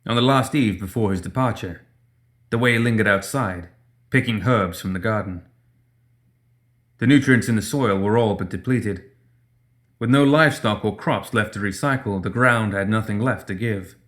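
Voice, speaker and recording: Low-pitched man narrating dramatic fiction. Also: normal speed, a bit bright. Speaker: audiobook narrator.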